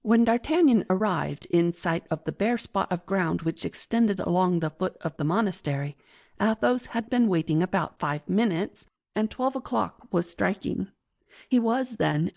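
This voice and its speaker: A woman reading classic fiction as an audiobook narrator in a measured, literary manner. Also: medium pitch, creaky, a little mellow.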